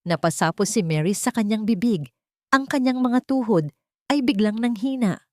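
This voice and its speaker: A woman's voice: on the high side, lively intonation, moderate pace, dry recording, clean studio sound. A woman narrator reading a scripted dramatic story.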